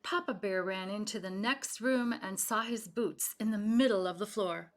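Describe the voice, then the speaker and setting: A woman's voice: medium pitch, moderate pace. A woman reading a children's story aloud expressively, speaking directly to young listeners. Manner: animated, addressing young listeners with questions. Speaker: storybook reader.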